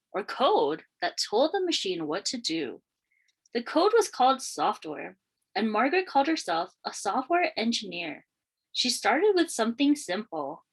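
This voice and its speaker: A woman reading a children's picture book aloud in an expressive, deliberate storytelling manner. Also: medium pitch, husky, low-quality recording. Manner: expressive, questioning lifts and deliberate phrasing. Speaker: read-aloud storyteller, teacher.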